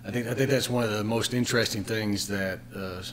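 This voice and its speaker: A man's voice: lowish voice, fairly even intonation, a little fast, a little hiss or hum. A middle-aged man with an American accent, talking conversationally as an interview co-host. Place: small plain room at a table, indoors. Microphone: desk microphone on stand.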